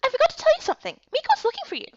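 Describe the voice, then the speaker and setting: Woman putting on a very high, bubbly excitable girl. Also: rapid-fire, no echo, dull audio. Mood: bubbly, teasing. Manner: gushing, eager. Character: excitable, bubbly, pushy young female friend.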